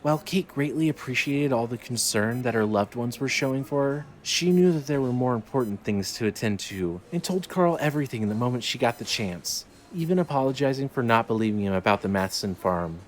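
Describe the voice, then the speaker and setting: A man's voice: medium pitch, lively intonation, a little fast, few pauses. A man narrating scripted fiction in a dramatic storytelling style. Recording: light noise, ambience behind.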